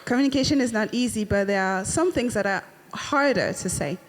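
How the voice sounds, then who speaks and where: A woman interpreting a preacher's sermon phrase by phrase, in a plain and steady way. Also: medium pitch, moderate pace. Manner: renders each phrase promptly, plain and steady.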